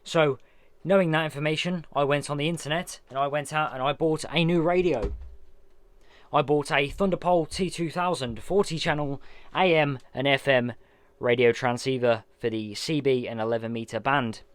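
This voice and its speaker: Chatty man, smooth close voice. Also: on the high side, moderate pace, no echo. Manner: chatty, hands-on, narrating each step. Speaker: vlogger unboxing radio gear. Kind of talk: casual product unboxing walkthrough.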